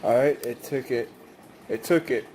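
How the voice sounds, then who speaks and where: A man's voice: mid-range voice, moderate pace, dark tone, slight background noise. A man giving a casual, rambling hands-on demonstration in a conversational way. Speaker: video maker demonstrating cassette tapes.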